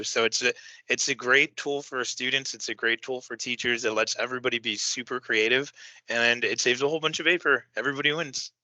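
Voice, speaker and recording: A man with a very bright, forward voice hosts a teacher training session over a video call. He sounds upbeat and encouraging. The sound is dry and close. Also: mid-range voice, no room sound, poor audio. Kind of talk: moderating an online training session.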